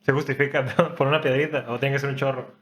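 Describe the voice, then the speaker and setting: Young Mexican co-host, bright, sharp voice, fast and curious, laughs a lot. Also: male voice, medium pitch, flat delivery. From Sinaloa, Mexico. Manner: quick, laughing, asks lots of questions. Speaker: podcast co-host. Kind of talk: casual chat.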